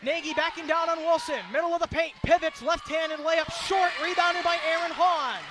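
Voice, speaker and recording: A man doing excited, projected radio play-by-play of basketball in short, clipped, rapid-fire phrases. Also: very high-pitched. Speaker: play-by-play commentator. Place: indoor basketball arena. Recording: loud crowd and gym noise. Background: crowd noise and bouncing basketball.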